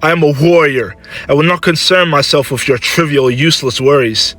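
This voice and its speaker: A man's voice: medium pitch, moderate pace, fairly bright tone, husky, soft background music. A man voicing a proud, menacing young warrior son, heated, defiant and scornful, in a dramatic story. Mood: haughty anger.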